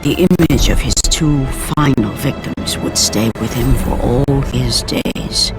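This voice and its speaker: A woman playing a solemn, foreboding storyteller, narrating a video game tale of ancient war in a grave, deliberate manner. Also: very deep voice. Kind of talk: dramatic scripted game narration. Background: dramatic music.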